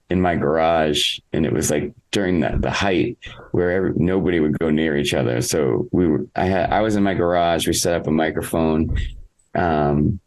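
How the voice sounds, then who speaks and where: Male musician for a podcast interview: very low voice, casual, halting, thoughtful delivery. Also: darkish tone, poor audio. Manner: halting, reflective, thinking aloud. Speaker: interviewed musician, singer in a band.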